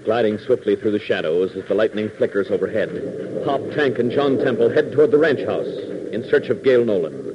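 A man's voice: lowish voice, expressive, moderate pace. An American man narrating a 1940s radio adventure serial in a dramatic, declamatory announcer style. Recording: muffled, hissy 1940s radio transcription.

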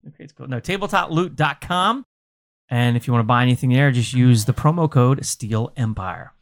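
A woman's voice: a bass voice, animated, moderate pace. A woman hosting a podcast casually, with informal, joking banter. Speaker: podcast host and player.

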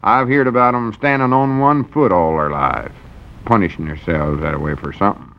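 A man's voice: on the low side, normal speed, creaky. An actor playing a gullible, earnest small-town man in an old radio comedy, with a drawling rural Southern American accent and rustic speech. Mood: earnest, insistent. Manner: drawling, folksy, rustic dialect. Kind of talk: 1940s radio comedy acting. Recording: dull, lo-fi old radio broadcast.

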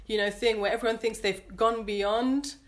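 A woman with an English accent, speaking earnestly and thoughtfully as an interview guest, pausing to search for words. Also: on the high side, steady tone. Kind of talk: reflective interview conversation.

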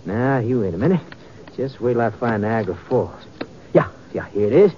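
A man playing an eager, chatty Old West hotel clerk, rustic and excitable, in a 1950s radio western. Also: mid-range voice, moderate pace, lightly acted. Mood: proud, excited. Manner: rustic, excitable, chuckling and stammering. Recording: muffled, noisy 1950s radio recording.

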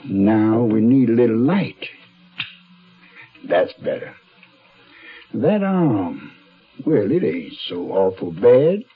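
A folksy old American tattoo hawker in a radio drama, with slangy, drawling patter. His pitch jumps around in a very animated way, and the dated radio recording is dull and boxy. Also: slow, long pauses, soft background music. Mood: wary, gruff. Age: older. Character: old leathery tattoo parlor man, folksy, wily hawker. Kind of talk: 1970s radio drama acting. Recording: dull, band-limited 1970s radio recording.

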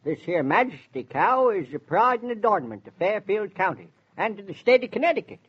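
High-voiced, husky old country farmer. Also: male voice, sing-song, brisk, fairly bright tone, full character voice. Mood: proud, boastful. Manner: folksy, rustic dialect. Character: excitable, proud old rural farmer, cow enthusiast. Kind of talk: 1940s radio acting. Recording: muffled 1940s radio recording.

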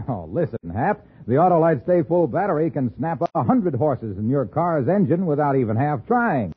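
An American man announcing and pitching a sponsor in scripted 1950s radio style. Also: big pitch swings, normal speed, husky. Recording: muffled old radio broadcast.

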